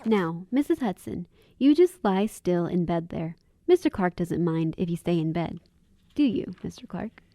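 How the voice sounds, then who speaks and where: An actress playing a plain-spoken, caring young waitress in a radio drama. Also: female voice, fairly low pitch, lively intonation, moderate pace, dark tone, faint music behind, lightly acted.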